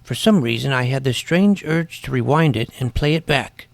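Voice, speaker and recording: Gravelly, deadpan schoolboy detective, played by a man. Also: medium pitch, moderate pace, dry recording. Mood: wry, reflective. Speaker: narrator and lead character. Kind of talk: scripted first-person mystery narration.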